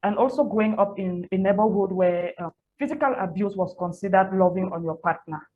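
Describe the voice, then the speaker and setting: A woman's voice: mid-range voice, a little fast. A Cameroonian woman in her thirties telling her personal story as an interview guest, candid and repeating phrases for emphasis. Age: 30s. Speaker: interview guest, NGO founder and women's activist. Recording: muffled lo-fi audio with audible background noise.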